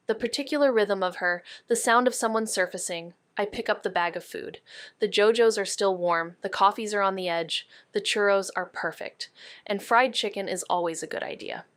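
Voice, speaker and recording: An actress playing a sharp, wry young woman detective in a noir audio drama, with a dry, clipped, probing manner. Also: mid-range voice, dry recording, natural voice. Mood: wry, reflective. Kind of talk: neo-noir audio drama dialogue and narration.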